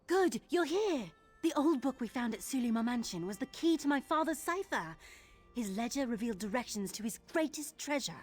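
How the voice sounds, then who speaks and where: An earnest young woman thief from a fantasy game, played by an actress. Her voice sits fairly high and she talks in a heartfelt, expressive way, with faint music behind her. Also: a little fast, close to an everyday voice. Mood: eager, wistful. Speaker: game character. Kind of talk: dramatic game dialogue.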